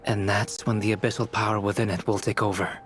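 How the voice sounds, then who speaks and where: An actor playing a calm, scholarly young male alchemist in a game, explaining in a measured, analytical way. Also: on the low side, lightly acted. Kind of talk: game cutscene voice acting. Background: background music.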